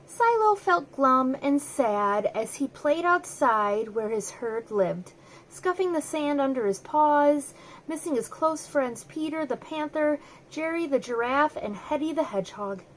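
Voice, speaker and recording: A woman's voice: sits high, animated, unhurried, a little hiss or hum, poor audio. A woman storyteller narrating a children's picture book aloud. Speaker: storyteller, host.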